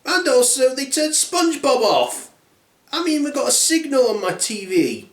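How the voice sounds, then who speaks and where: Casting: little boy bear cub, whiny and wronged, very high done by a man, echoing room. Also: very animated, moderate pace. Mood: aggrieved. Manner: complaining, plaintive. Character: small whiny aggrieved Baby Bear cub, male child.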